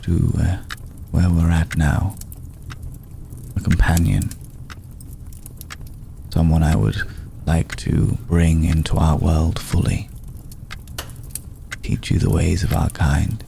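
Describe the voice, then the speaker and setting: A man playing a courteous, brooding old vampire lord in an intimate ASMR roleplay, speaking in a measured, solemn, deliberate way with long pauses. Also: flat delivery, mellow, a little hiss or hum, full character voice. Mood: fond, earnest. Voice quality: deep, gravelly. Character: courteous, brooding centuries-old male vampire lord, tender toward a mortal.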